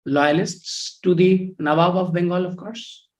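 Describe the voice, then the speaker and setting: A man with an Indian English accent giving a live online history lecture. He speaks quietly and in a measured way, and he reads out comments from his students. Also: fairly even intonation. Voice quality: low, soft voice. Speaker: history teacher.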